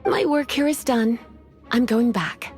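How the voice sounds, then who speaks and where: A woman voicing a languid, teasing adult librarian mage in a video game, speaking in a slow, drawling way. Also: on the high side, darkish tone, close to an everyday voice. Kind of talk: game voice acting. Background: faint game music.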